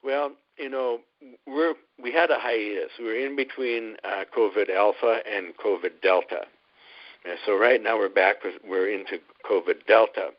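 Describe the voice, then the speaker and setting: Low-voiced Midwestern older man, hesitant. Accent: Midwestern American. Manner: hesitant, many ums, measured. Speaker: guest obstetrician. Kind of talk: casual interview answers. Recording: muffled radio audio.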